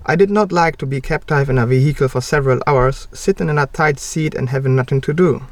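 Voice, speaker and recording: A man's voice: mid-range voice, fast talker, no echo, distant mic. A young man from Poland reading a scripted account in a plain, matter-of-fact way. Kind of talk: read-aloud scripted conversation.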